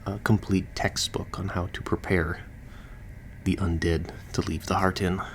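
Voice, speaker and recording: A man's voice: low voice, level tone, unhurried, slight background noise. A man playing a chatty, easygoing surgeon who patches up the undead, explaining casually as he works in a listener roleplay.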